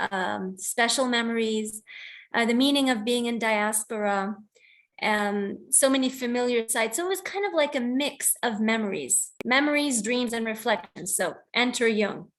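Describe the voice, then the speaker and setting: A woman from the United States with a fairly high voice speaks on a video call as host of a poetry reading. She explains and reads her work informally, in a reflective manner. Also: normal speed. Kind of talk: informal introduction and reading of own poems.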